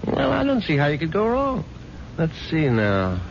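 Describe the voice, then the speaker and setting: A man's voice: a little high, huge pitch swings, moderate pace, vocal fry, slight background noise, exaggerated voice. An actor playing a skeptical, easily exasperated husband of a rich woman in a 1970s radio drama, with a sardonic, sputtering delivery. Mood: wry, teasing. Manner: sardonic, sputtering when incredulous. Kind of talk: 1970s radio drama acting, partly first-person narration. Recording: dull 1970s radio recording, music behind.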